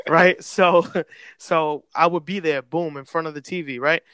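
Casting: laid-back man, native Spanish speaker, bright and fairly high voice, dry close sound, rambling slangy storyteller. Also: steady tone, measured pace, clean tone, dry recording. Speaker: podcast guest. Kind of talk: casual chat.

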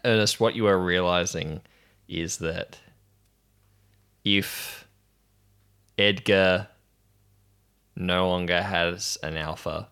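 A man narrating deliberately to a player as game master of a tabletop role-playing game. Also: deep voice, fairly even intonation, a bit bright, clear, resonant tone.

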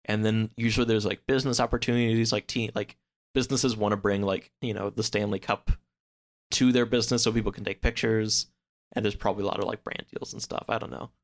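A man in relaxed podcast conversation, explaining things informally in loose, hedging phrases peppered with "like". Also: lowish voice, fast talker. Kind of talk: casual podcast chat, explaining.

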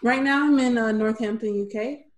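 A young American woman hosting a podcast, speaking softly with many ums and restarts in a casual interview chat. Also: a little high, normal speed. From Michigan, USA. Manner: soft-spoken, with frequent ums and restarts. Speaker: podcast host.

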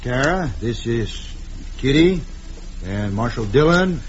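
An actor playing a kindly, hospitable American saloon keeper in a radio western. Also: male voice, mid-range voice, animated, takes it slowly. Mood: genial. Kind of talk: 1950s radio western acting. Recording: dull, noisy vintage radio recording.

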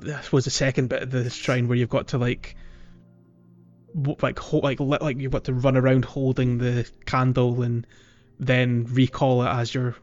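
A man's voice: mid-range voice, darkish tone, lo-fi sound. A man co-hosting a casual video game podcast, leading the discussion over an internet voice call.

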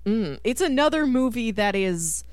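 A woman hosting a casual film discussion podcast, talking in an emphatic, animated manner. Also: a little high, normal speed, clear, resonant tone, no echo, studio quality. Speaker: podcast host.

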